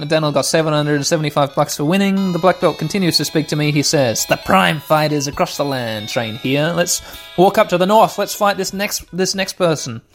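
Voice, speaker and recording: A man in his thirties with a slightly hoarse voice, hosting a let's play with rambling, sweary, mock-dramatic gameplay commentary. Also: fairly high pitch, fairly quick, a bit bright. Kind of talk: casual gameplay commentary with nostalgic asides. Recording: music playing behind the voice. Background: music.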